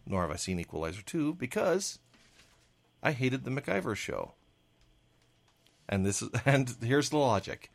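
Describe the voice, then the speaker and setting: Animated man rambling through his review. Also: mid-range voice, vocal fry. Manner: rambling, builds a roundabout argument, laughs at himself. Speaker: panelist. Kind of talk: casual movie review chat.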